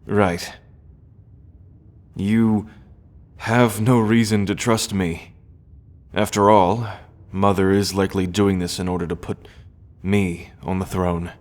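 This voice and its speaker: A man playing an earnest, sorrowful young prince in a fantasy audio roleplay, speaking gently and formally with weighty pauses. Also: low-pitched, flat delivery. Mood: concerned, earnest.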